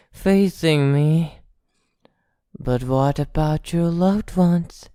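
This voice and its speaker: A woman playing an arrogant, possessive vampire captor in an ASMR roleplay, haughty, teasing and softly threatening. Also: on the low side, clean tone, no room sound, clean studio sound. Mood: menacing, jealous.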